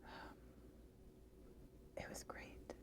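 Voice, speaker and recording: Woman, slow unhurried whisper, slightly hoarse, hesitant ASMR book reviewer. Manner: soft hesitant whisper with frequent fillers.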